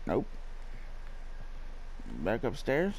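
A man's voice: medium pitch, big pitch swings, very slow, darkish tone, slight background noise. A man doing casual gameplay commentary, muttering short, sweary remarks to himself as he plays. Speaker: gamer, playthrough host. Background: game ambience.

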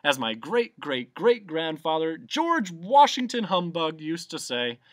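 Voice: mid-range voice, big pitch swings, unhurried, no echo, studio quality, pitched above the performer's own voice. A reader voicing a blustering, boastful old bug, a self-important fraud in a storybook. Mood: pompous boasting. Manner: pompous, shouting, boastful.

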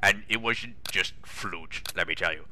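A man's voice: low voice, measured pace, sharp tone, no echo, full character voice. A man voicing a pompous, exasperated older railway boss with a British accent, grumbling indignantly in a comic dramatic reading. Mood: irritated.

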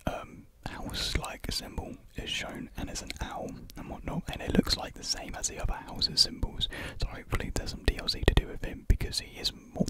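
A young man speaks in a breathy whisper close to the microphone, rambling softly and hesitantly in a casual ASMR chat. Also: very high voice. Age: young adult. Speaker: ASMR video creator. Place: small room with painted block walls. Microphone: large desktop USB condenser microphone, close up.

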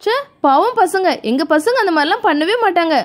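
Woman as a high-voiced protective mother. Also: animated, fairly quick. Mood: indignant concern. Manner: indignant, caring. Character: protective mother standing up for children. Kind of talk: children's toy play story.

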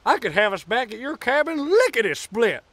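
A man's very high-pitched folksy cowboy. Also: big pitch swings, moderate pace, fairly bright tone, no room sound, heavily acted. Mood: breezy. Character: breezy, folksy cowboy folk hero.

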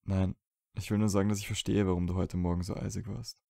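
Audio drama: wounded, stubborn young wizard male actor, dark mellow tone, dry recording, earnest and colloquial. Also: deep voice, level tone, quick pace, vocal fry, dry recording. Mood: frustrated, insistent. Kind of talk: fan audio drama acting.

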